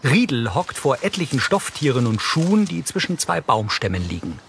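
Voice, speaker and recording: A man's voice: mid-range voice, very animated, moderate pace, fairly bright tone, a little hiss or hum. A man reading neutral, scripted audio description for a film. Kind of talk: neutral scripted narration, present tense.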